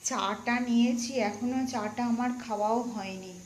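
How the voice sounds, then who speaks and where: A woman's voice: a little high, steady tone, normal speed, slight background noise. A woman, narrating a casual vlog and chatting to viewers as friends. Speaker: vlogger.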